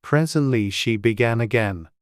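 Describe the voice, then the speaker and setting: An audiobook narrator reading a children's story in a measured, deliberate storytelling way. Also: mid-range voice, dry recording, clean studio sound.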